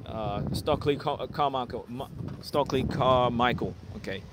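A man's voice: mid-range voice, a little slow. A young Ghana-born man live-streaming outdoors on a sunny city street, chatting casually with viewers and filling his talk with "okay" and "yeah". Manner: relaxed, repetitive, drops in "okay" and "yeah" often. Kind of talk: casual live-stream chat, reading viewer comments aloud. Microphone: phone held at arm's length. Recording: phone recording on a noisy street. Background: street and traffic noise.

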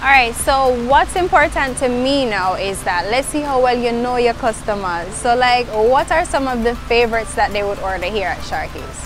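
A woman's voice: a little high, expressive, moderate pace, few pauses, rough recording. A young woman with a Jamaican accent, hosting a casual interview outdoors. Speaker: interviewer, show host. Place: outdoors on a sandy beach. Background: music.

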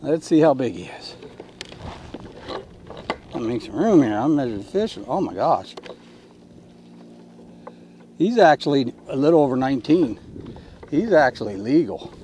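A middle-aged man fishing from a kayak, talking excitedly to himself as he fights and lands a fish, picked up by a mounted action camera out on the water. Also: mid-range voice, unhurried, long pauses. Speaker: kayak angler. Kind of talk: live on-the-water commentary. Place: kayak on open water, outdoors, windy. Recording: wind noise on action camera.

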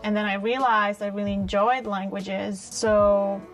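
A woman's voice: medium pitch, little pitch movement, unhurried, soft background music. A woman in her late twenties with a Czech accent, a native Czech speaker, talking informally and reflectively as an interview guest. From Czech Republic, small town. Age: late 20s. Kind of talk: casual conversational interview. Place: small bright living-room style set, indoors.

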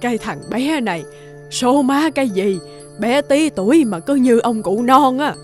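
Give me a high-voiced, coaxing old grandmother. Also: animated, unhurried, darkish tone, faint music behind. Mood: chiding, reassuring. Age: older. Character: caring, chiding, teasing old grandmother. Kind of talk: cartoon dubbing.

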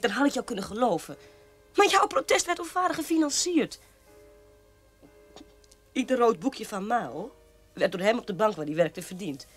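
A scornful sister in a radio drama, played by a woman, speaking at a medium female pitch over music. She is sharp-tongued and needling, picking at her brother with mockery. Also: lightly acted. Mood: accusing. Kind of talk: radio play acting.

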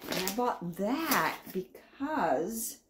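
A woman's voice: mid-range voice, big pitch swings, extremely slow, slight background noise. A woman in her fifties chatting casually to camera in her kitchen, rambling a little and repeating herself as she goes. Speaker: home vlogger showing a grocery haul. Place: home kitchen, indoors.

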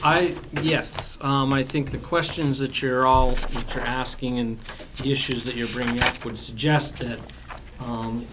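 A man on a planning board, speaking in a measured, procedural way at a public meeting. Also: mid-range voice, fairly even intonation, background noise. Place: town hall meeting room.

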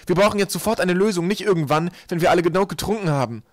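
Bookish storybook boy, played by a man: lifted pitch, earnest, emphatic, insistent. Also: rapid-fire, dry recording, close to an everyday voice. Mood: urgent. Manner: emphatic, explaining.

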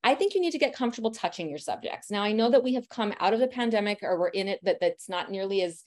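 A woman in her thirties talks through a photography class with loud, chatty energy. Her voice sits at an ordinary middle pitch. She speaks directly and warmly encourages her students to try things out. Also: lively intonation, fast. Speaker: family photographer teaching posing. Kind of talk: presentation lecture.

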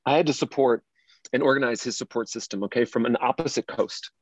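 A man's voice: medium pitch, fast, natural voice. A man acting a distraught, wounded and indignant character in his late thirties in a dramatic script reading. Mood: troubled, recounting. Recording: echoey room, slightly dull sound.

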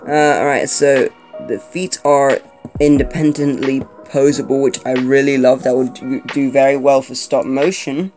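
A young man from Colombia chats through a toy unboxing at a table, hesitant and rambling with frequent ums and restarts. His voice sits at an ordinary middle pitch. Guitar music plays clearly underneath the camera-mic sound. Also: fairly even intonation, moderate pace. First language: not Spanish. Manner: chatty, hesitant, many fillers and restarts. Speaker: toy reviewer. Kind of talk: casual unboxing review. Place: indoor room at a table.

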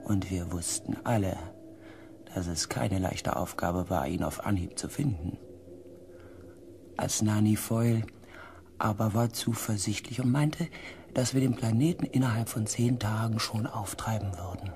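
A man narrating a science fiction radio drama as a reflective crew member, in a hushed, deliberate way. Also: on the low side, slight background noise. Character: reflective crew member recounting a space expedition. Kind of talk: scripted first-person radio drama narration.

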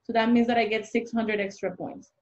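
A woman's voice: on the high side, level tone, fairly quick, darkish tone. A young adult woman, an immigration consultant, explaining the rules step by step with worked examples in an interview over a video call from a small room. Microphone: video call webcam. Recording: dull lo-fi video-call audio.

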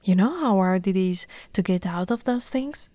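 A young woman playing an affectionate, teasing lamia girlfriend in an ASMR roleplay, soft-spoken and often whispering, with a breathy, coaxing delivery close to the mic. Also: mid-range voice, expressive, no room sound, natural voice. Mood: reassuring. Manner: slow, breathy, coaxing delivery with pet names. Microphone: close, dry microphone.

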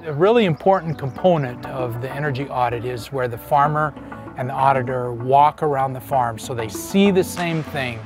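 A man's voice: mid-range voice, normal speed, music in the background. A man in his fifties giving an explanatory interview as an energy specialist. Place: outdoors among trees by a wooden fence.

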